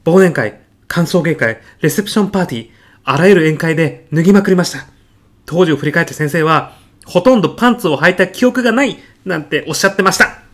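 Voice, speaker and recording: A man playing an earnest physicist in an audio comedy sketch, speaking formally and reverently of his mentor with deadpan, grandiose solemnity. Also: on the high side, fast. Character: earnest, reverent male physicist, devoted protégé of late professor.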